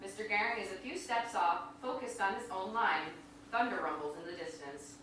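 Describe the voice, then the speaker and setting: A woman reads stage directions in a bright, forceful voice. She is heard at a distance in a very echoey room, with faint noise. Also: mid-range voice, normal speed. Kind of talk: scripted narration. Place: indoor venue, staged reading. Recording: very echoey room from far off, noisy.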